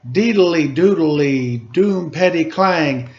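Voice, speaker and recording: A man reading aloud to children as a storyteller, with theatrical, drawn-out emphasis and casual asides. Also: a little high, lively intonation, slight background noise. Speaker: storybook reader, teacher. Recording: muffled lo-fi recording.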